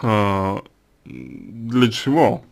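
A man's voice: lowish voice, very slow. A man giving spoken advice to a listener, hesitant, with many fillers and restarts. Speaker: psychologist answering a question.

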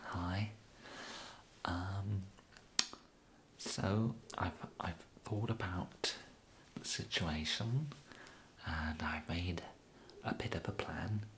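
A young man with an English accent whispering an ASMR roleplay as an awkward, polite kidnapper, halting and apologetic, close to the microphone. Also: lowish voice, poor audio. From England. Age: young adult. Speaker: ASMR performer. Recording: steady background hiss.

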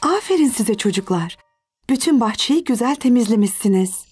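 A woman playing a kindly, encouraging mother of young children in a cartoon dub, with a gentle manner. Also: on the high side, animated, moderate pace, breathy edge, soft background music.

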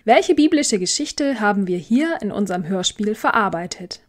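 A woman's voice: medium pitch, expressive, normal speed. Female podcast host, mid-range voice, prepared interview moderation.

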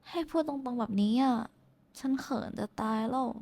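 A woman's voice: fairly high pitch, normal speed, dark tone. A woman playing a shy, affectionate young woman in an intimate roleplay, talking casually and hesitantly to someone special. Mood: bashful. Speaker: VTuber voice actress. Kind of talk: intimate roleplay voice pack addressed to the listener.

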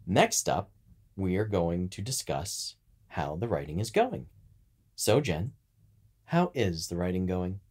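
Male podcast co-host, deep register, conversational and instructional delivery. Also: big pitch swings, slow pace, long pauses, darkish tone, slightly rough. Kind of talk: explanatory podcast talk.

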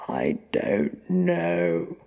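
A frightened, hurt henchman as a narrator acts him out, stammering in halting bursts. The voice is very husky and breathy, pushed higher and louder than the narration in a dramatic audio story. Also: big pitch swings, heavily acted. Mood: pleading. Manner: stuttering, halting, strained. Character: frightened, injured henchman named Slim, stammering and gasping.

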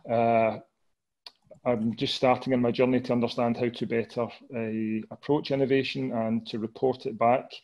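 Scottish man, Glasgow accent, monotone, earnest caller on a video meeting. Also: mid-range voice, dull audio. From Scotland. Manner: earnest, measured, many filler pauses. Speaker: audience member asking a question. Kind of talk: video call question. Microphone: headset on a video call.

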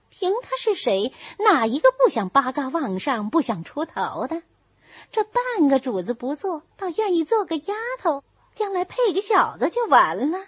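A woman reading a novel voices a shrewd, flattering young married lady of a rich household in an animated, calculating manner. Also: high-pitched, no room sound, highs cut off, pitched above the performer's own voice. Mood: eager flattery. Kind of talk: storybook character reading.

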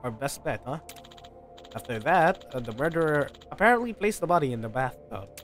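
A man's voice: mid-range voice, expressive, brisk. A man livestreaming a game, reading its dialogue aloud in a playful way, ad-libbing comments and stumbling over the odd line. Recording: game music behind the voice. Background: video game music.

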